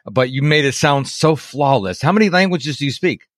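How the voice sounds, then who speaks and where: A middle-aged man with a fairly high voice, talking loosely and warmly about his own missteps as he hosts a podcast. The sound is dry and close, with no room around it. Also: animated, normal speed, dry recording. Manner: chatty, self-deprecating, tells personal stories. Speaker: podcast host. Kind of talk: casual interview chat.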